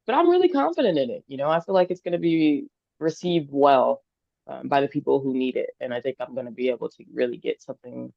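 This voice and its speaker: Brief: singer-songwriter woman in her 20s, slight vocal fry, earnest and upbeat, dull dry lo-fi podcast audio. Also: a bass voice, dry recording. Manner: earnest, enthusiastic, thinks aloud. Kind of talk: casual podcast interview.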